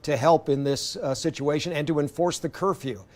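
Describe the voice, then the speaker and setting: A man's voice: on the high side, normal speed. A man anchoring a live news broadcast, polite, with many fillers between handoffs.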